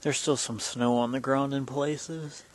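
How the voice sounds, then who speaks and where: A man's voice: mid-range voice, steady tone, a little slow. A man giving casual vlog commentary while filming on a handheld camera outdoors on a wooded trail. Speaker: vlogger. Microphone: handheld camera, held by the speaker.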